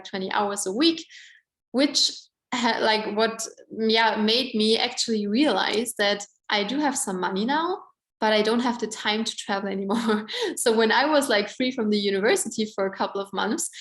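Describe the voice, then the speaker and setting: A woman in her twenties presents at an online event with an Austrian German accent. Her voice sits a little high, and she talks chattily with plenty of "like" and "um". The room around her adds a clear echo. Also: fairly bright tone. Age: 20s. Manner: chatty, many "like" and "um" fillers.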